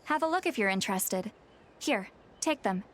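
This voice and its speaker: An actress playing a calm, scholarly young woman in a video game, explaining in a measured, articulate way. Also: mid-range voice, lightly acted. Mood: thoughtful. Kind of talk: video game dialogue, expository.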